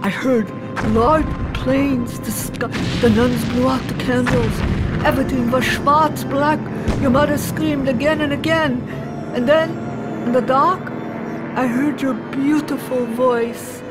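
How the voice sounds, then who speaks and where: A woman playing a weary refugee father who tells his daughter about her birth, hushed and grave, slipping German words into his talk. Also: high-pitched, steady tone, unhurried, voice pushed up. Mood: tender, grave. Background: music.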